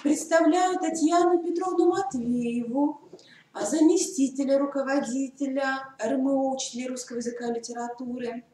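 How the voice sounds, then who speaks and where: A woman's voice: high-pitched, normal speed, mellow. A woman moderating a seminar, formally introducing the speakers and politely addressing her colleagues. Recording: echoey room, mic far off, faint noise.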